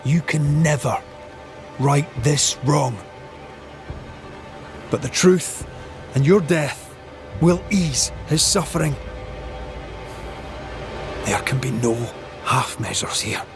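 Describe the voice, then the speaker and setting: An actor playing a stern, solemn ghost-hunter in a video game cutscene, pronouncing judgment in a formal, deliberate way. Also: male voice, a little high, over music.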